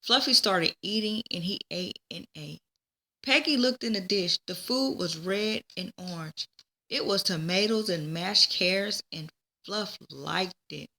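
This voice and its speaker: A woman reading a children's story aloud in a deliberate way, now and then stumbling and repeating words. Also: mid-range voice, bright, forceful tone. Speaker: teacher reading a book aloud. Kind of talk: children's read-aloud narration.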